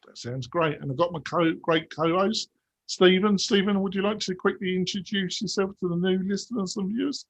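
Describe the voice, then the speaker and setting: A man's voice: high-pitched, moderate pace, no room sound. A man hosting a tech podcast, introducing guests and reading sponsor messages in an upbeat, announcer-like way. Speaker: podcast host.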